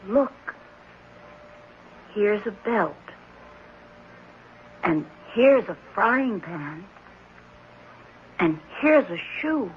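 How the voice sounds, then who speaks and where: An actor playing a devoted, eerily calm young boy in a 1970s radio drama, speaking deliberately in a singsong way. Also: mid-range voice. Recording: muffled, hissy old radio recording.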